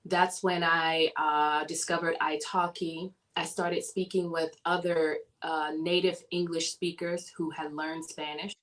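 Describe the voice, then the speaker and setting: A woman from the southern United States, a guest in a casual interview, telling her story in an animated way and laughing often. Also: sits low, unhurried, hardly any pauses, rough recording.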